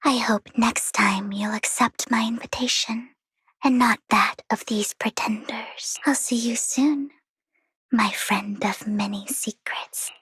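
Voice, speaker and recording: A woman playing an elegant, secretive, wealthy young lady in a video game, breathy and poised, with a teasing, deliberate manner. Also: a little high, fairly even intonation, dry recording, full character voice. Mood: sly, confiding. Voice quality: breathy, hushed. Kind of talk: video game character acting.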